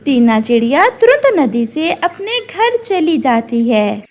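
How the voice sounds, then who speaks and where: A woman's voice: sits high, very animated, slow, darkish tone. A woman reading scripted narration for a cartoon moral story.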